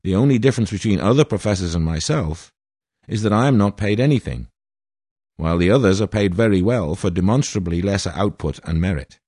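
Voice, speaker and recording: Older man from Wales, formal, aggrieved, grandiose, lowish voice, dry close sound. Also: animated, fairly quick, dark tone, husky, no room sound. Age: 60s. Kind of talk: prepared statement read aloud.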